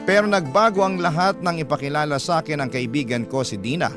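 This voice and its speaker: A male radio host reads a love story in the first person with a dramatic storytelling delivery. His voice sits fairly high for a man, and guitar music plays strongly behind him. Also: fast talker. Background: prominent guitar music.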